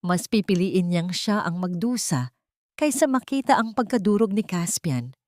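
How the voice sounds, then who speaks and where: A woman narrating a dramatic scripted story in a measured, solemn, melodramatic way. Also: mid-range voice, animated, darkish tone, no room sound, studio quality.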